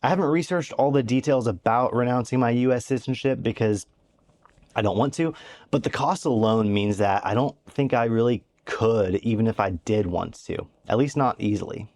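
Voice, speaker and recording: American guy from oklahoma, middle-aged, talking through a vlog script, dry and matter-of-fact, mid pitch. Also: normal speed, a little mellow. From Oklahoma, USA. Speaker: vlogger.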